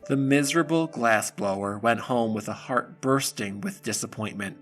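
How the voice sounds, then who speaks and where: Guy narrating a fairy tale, bright clear-cut tone, medium pitch, little bit of music under him. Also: moderate pace. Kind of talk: scripted storybook narration.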